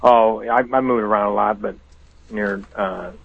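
A man from the Chicago area with a Chicago accent, calling into a radio show and talking in a halting, repetitive way. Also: on the low side, steady tone, vocal fry. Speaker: caller. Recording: thin phone line.

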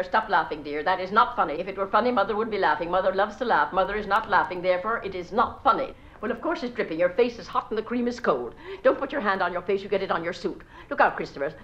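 A woman's voice: mid-range voice, fast talker, a bit bright, slight background noise, lightly acted. A woman playing a gossipy, bossy society mother in a stage monologue, gushing to friends and scolding her children between sentences, on a dull old recording. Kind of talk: solo stage character monologue.

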